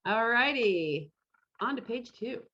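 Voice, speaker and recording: A woman's voice: a little high, expressive, slow, fairly bright tone. A woman casually hosting a live online event over a video call, with slightly dull call audio. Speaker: host of an online costume parade. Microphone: computer mic on a video call.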